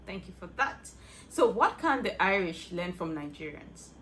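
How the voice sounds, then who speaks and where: Lively low-pitched woman asking questions. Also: normal speed, slight background noise. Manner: brief questions and soft backchannels. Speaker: interviewer. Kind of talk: interview questions.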